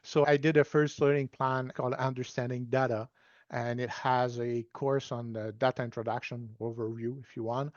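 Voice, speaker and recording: French Canadian man, measured and dry. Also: mid-range voice, little pitch movement, dry recording, muffled recording. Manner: measured, self-deprecating, explains step by step. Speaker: guest data management consultant. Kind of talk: relaxed explanatory interview answers.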